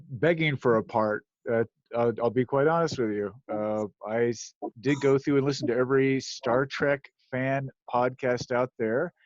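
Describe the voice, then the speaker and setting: A man who does voice acting, telling casual stories in a halting, repetitive way on a group video call. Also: medium pitch, dry recording. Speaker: volunteer audio drama voice actor. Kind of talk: casual anecdote on a group live stream. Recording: dull lo-fi call audio.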